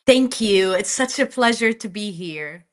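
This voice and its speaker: A woman from Jordan telling her personal story as an interview guest, speaking in an earnest, deliberate way. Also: mid-range voice, animated.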